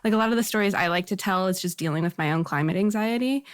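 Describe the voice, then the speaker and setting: A woman's voice: mid-range voice, fast talker. A woman on a podcast panel in casual conversation, speaking in an earnest, informal way.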